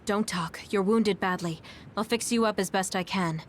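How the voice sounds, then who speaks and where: A woman playing a composed, caring military squad leader in a video game, gentle but authoritative. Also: mid-range voice, normal speed, a little hiss or hum, close to an everyday voice. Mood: reassuring. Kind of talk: dubbed anime-style video game acting.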